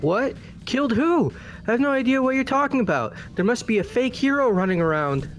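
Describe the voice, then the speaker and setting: A man's voice: very high-pitched, lively intonation, a little fast. A man voicing a goofy, clueless young guy who protests in a whiny, slangy way, in a fan-dubbed visual novel. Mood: defensive. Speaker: voice actor in fan game playthrough. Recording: music under the voice.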